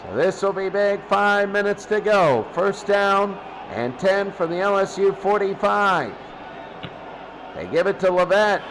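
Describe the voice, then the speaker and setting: High-pitched man calling football play-by-play. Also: lively intonation, unhurried, a bit bright, slight background noise. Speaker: play-by-play commentator for a video game football stream. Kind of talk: sports play-by-play commentary.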